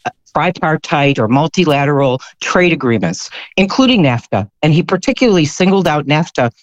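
A man's voice: a little high, expressive, brisk, no room sound. A man giving a dissenting view as a guest in a political discussion, recorded in dull, lo-fi sound. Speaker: panel guest.

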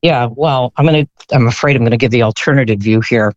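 A man's voice: medium pitch, quick pace, no room sound. A man giving a dissenting view as a guest in a political discussion, recorded in dull, lo-fi sound. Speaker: panel guest.

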